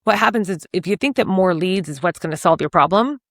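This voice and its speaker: A woman in her forties from Washington state, telling her story as a podcast guest in an animated, energetic way. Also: fairly low pitch, fast talker, dry recording, clean studio sound. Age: 40s. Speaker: podcast guest, real estate agent. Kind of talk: casual interview chat.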